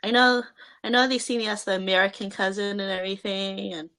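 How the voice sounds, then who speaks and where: A young woman talks through interview answers with a gravelly, creaky edge to her voice. Her tone is bright and a little tense, and she stops often to restart her sentences. She uses plenty of fillers as she goes. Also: medium pitch, steady tone, a little fast. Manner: hesitant, many fillers and restarts.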